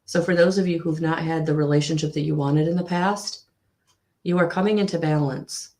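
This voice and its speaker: A woman giving a live tarot reading to viewers, with a husky voice, slightly hoarse after a recent illness, speaking in a soothing, repetitive way with frequent ums. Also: low voice, fairly even intonation, a little fast, mellow. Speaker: tarot reader.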